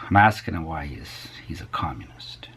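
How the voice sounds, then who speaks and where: A man's voice: very deep voice, big pitch swings, unhurried, creaky, fairly bright tone. A man hosting a casual vlog, rambling and musing to viewers, often dropping to a near whisper. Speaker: vlog host.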